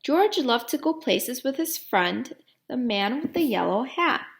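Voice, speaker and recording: A woman reading a children's storybook aloud like a teacher, deliberately, putting questions to young listeners. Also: high-pitched, very animated, vocal fry.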